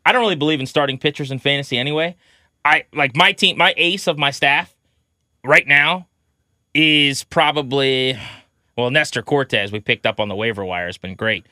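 A man's voice: medium pitch, moderate pace, bright, forceful tone, no room sound, clean studio sound. A man co-hosting a sports podcast, chatting casually about baseball in an opinionated, conversational way.

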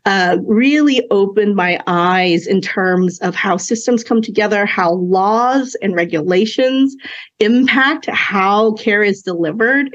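A woman in her thirties, Cantonese her first language, talks through her path as a podcast guest. She pauses often, circling her thoughts with um and you know. The sound is slightly dull and lo-fi. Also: medium pitch. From China. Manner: reflective, many fillers and restarts. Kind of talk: conversational interview.

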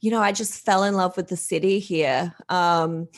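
A woman from Australia with a light Australian accent, telling her story as a podcast guest in a chatty, unhurried way. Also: on the low side, fairly even intonation. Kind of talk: casual podcast interview.

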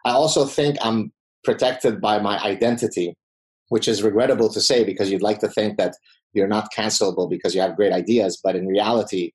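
A man's voice: fairly low pitch, rough recording. A middle-aged man from the Middle East, a professor and native Arabic speaker, answering interview questions in a confident, combative way with wry humor. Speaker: podcast guest, professor.

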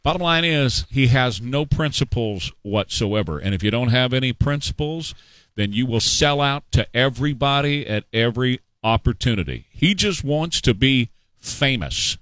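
A man's voice: mid-range voice, lively intonation, moderate pace, a bit bright, no room sound. A middle-aged man hosting a talk show, giving opinionated political commentary in a sarcastic, mocking, emphatic way.

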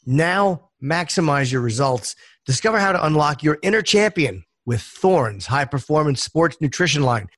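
A man with a slightly high voice reads a sponsor spot in a brisk, upbeat promotional manner. The recording is dry and close. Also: no room sound. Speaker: podcast host reading an ad. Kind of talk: ad read.

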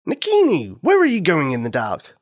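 A woman voicing a friendly, helpful mynah bird in a children's story, with a warm, coaxing manner. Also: sits low, wildly swooping pitch, normal speed, pitched above the performer's own voice, exaggerated voice. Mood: kind.

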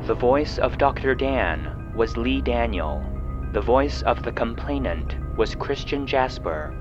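A man reading scripted narration and credits as a show's narrator, in a formal, measured announcing style. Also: medium pitch, highs cut off. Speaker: producer and narrator. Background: music.

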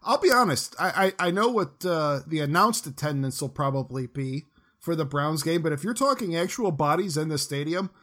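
Brief: thirtysomething male podcast host, slightly high voice, loose rambling questions. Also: lively intonation, normal speed, fairly bright tone. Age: 30s. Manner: chatty, asks long rambling questions. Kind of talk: casual podcast chat.

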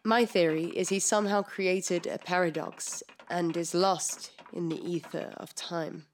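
Low-voiced, earnest female scientist played by an actress. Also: steady tone, a little slow, slight background noise, natural voice. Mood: somber. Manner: formal, careful, expository. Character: earnest, precise female scientist explaining secret research. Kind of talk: audio drama acting.

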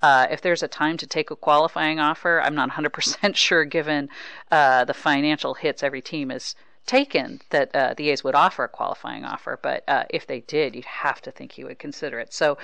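A woman hosting a casual sports interview, chatting in a halting way with many false starts. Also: low-pitched, fairly even intonation, creaky, fairly bright tone, no room sound. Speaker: podcast host, baseball writer.

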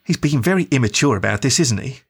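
A man voicing a gentle, middle-aged mother dog, soothing and apologetic. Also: medium pitch, expressive, quick pace, creaky, husky.

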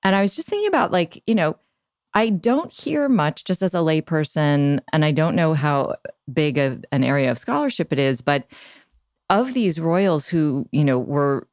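A woman's voice: low voice, expressive, moderate pace, a little mellow, dry recording, clean studio sound. A woman hosting a podcast, asking thoughtful, wandering questions in a conversational interview style.

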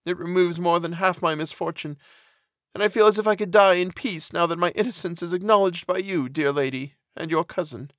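A man voicing a gentle condemned young woman in an audiobook, mournful, resigned and pious, speaking with earnest tenderness in formal old-fashioned phrases. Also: sits high, a little fast, no echo, clean studio sound, voice pushed up, natural voice. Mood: grateful, sorrowful. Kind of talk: dramatic audiobook character reading.

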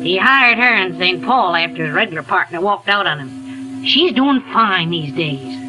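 A woman's voice: lowish voice, animated, normal speed, close to an everyday voice, poor audio. A woman playing a folksy retired comedienne. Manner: folksy, hesitant. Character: folksy older woman, former comedy performer. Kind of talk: 1940s radio acting. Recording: 1940s radio broadcast with music.

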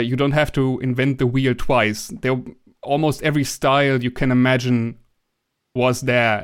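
A young man from Germany speaks English with a German accent in a relaxed interview. His voice is smooth and clear at a middle pitch, and he often pauses, restarts and fills gaps as he searches for words. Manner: hesitant, many restarts and fillers. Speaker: guest. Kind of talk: casual interview conversation.

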